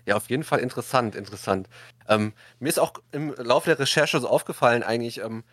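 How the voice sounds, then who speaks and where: A man's voice: mid-range voice, fast talker, a bit bright, dry recording, studio quality. A man hosting a podcast in a casual, chatty interview style. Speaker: podcast host.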